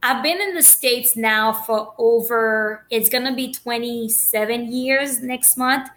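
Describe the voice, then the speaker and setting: A woman's voice: fairly high pitch, slow pace, a bit bright. A woman from Haiti talking casually about her personal journey, rambling with many fillers and restarts.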